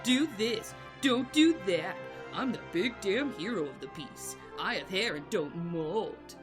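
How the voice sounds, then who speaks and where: A woman's voice: medium pitch, lively intonation, unhurried, music in the background, natural voice. A woman voicing a sarcastic, grumbling talking bird with a mocking manner in an audio drama.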